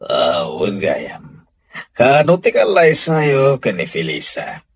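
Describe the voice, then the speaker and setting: A man's voice: mid-range voice, wildly swooping pitch, slow pace, husky, full character voice. An actor with a Filipino accent playing a weary but caring middle-aged father in a radio drama.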